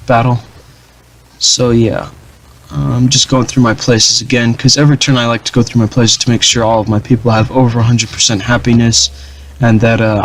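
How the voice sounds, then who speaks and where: A young man casually commenting over his strategy game, rambling with many fillers and restarts in a flat, dull-sounding voice. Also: mid-range voice, a little hiss or hum. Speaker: gaming channel host.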